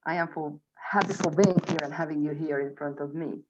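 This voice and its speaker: A woman in her forties speaks with a Hungarian accent in a lowish voice. She presents to an online group as a spiritual coach, choosing her words in halting, deliberate phrases. The sound is dull and lo-fi, as on a video call. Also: fairly even intonation, heavy background noise. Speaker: theta healing instructor and coach presenting an online event. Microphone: video call microphone.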